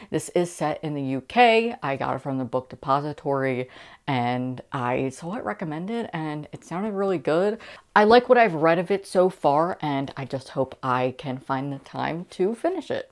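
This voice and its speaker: Low-pitched young woman chatting about books. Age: young adult. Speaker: book vlogger. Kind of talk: casual book haul chat to camera.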